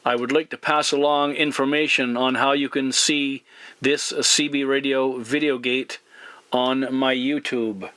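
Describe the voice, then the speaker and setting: Male radio operator, measured and polite, bright edge to the voice, very dry recording, methodical over-and-out delivery. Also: mid-range voice, fairly even intonation, dry recording. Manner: courteous, deliberate, formal radio procedure. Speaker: CB radio operator and video channel host. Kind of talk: CB radio exchange with spelling alphabet.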